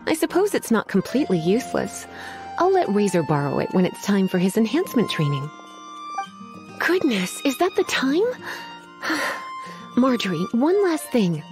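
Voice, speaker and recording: An actress playing a teasing, flirtatious, languid librarian in a video game, with a coy manner. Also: female voice, mid-range voice, huge pitch swings, moderate pace, over music.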